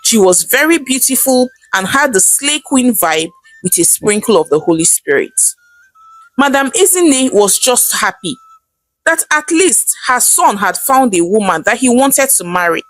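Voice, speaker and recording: A woman's voice: fairly high pitch, sing-song, a little slow, fairly bright tone, poor audio. A woman with a Nigerian accent narrating a folktale as a storyteller. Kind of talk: animated scripted folktale narration.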